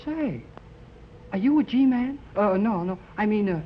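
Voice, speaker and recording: A young-sounding actor playing an eager, earnest, boyish amateur radio operator in a 1930s radio serial. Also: male voice, sits high, a little slow. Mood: inquisitive, excitable. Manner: excitable, earnest, gee-whiz. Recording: dull, noisy 1930s radio recording.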